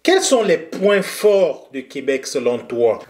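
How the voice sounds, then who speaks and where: A man hosting an interview, asking questions in a friendly, relaxed way. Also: fairly high pitch, takes it slowly, reverberant. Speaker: interview host. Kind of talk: conversational interview.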